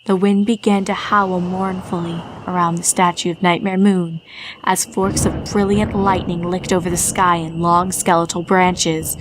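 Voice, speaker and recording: A woman reading a fan story aloud in a steady, measured narration. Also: lowish voice, noisy background. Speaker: reader.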